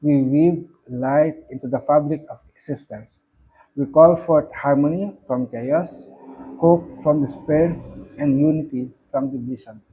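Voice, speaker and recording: A man with a Filipino accent, speaking English as a second language, giving a measured, solemn reflection on a prayer with occasional fillers. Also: fairly high pitch, mellow, slight background noise, poor audio. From Philippines. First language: not English. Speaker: reverend giving commentary.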